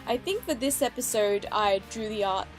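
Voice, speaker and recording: A woman's voice: on the high side, expressive, normal speed. A woman giving casual, chatty, amused reaction commentary. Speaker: reaction commentator, fan artist and voice actor. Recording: music behind the voice. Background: cartoon soundtrack with music.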